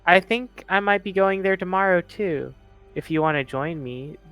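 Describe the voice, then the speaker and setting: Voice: mid-range voice, normal speed, fairly bright tone. A player in a tabletop roleplaying game, chatting casually in character. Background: faint music.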